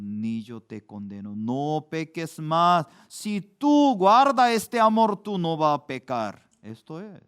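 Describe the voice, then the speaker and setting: Middle-aged male pastor, unhurried sermon delivery, clean resonant tone, bursts upward on key words. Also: smooth. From Korea. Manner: slow, deliberate preaching with sudden rises in pitch and volume for emphasis.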